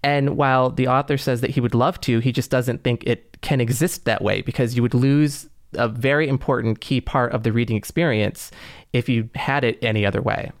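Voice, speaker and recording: A man's voice: mid-range voice, fairly even intonation, normal speed, no echo. Man hosting, close dry sound. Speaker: podcast co-host. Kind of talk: conversational podcast hosting.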